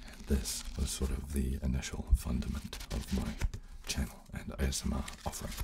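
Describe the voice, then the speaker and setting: A man in his thirties hosting a soft ASMR chat close on a desk microphone, hushed, halting and polite. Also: rumbling low, monotone, vocal fry, background noise. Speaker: host of an ASMR channel. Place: small furnished studio room, indoors. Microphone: close desk condenser microphone.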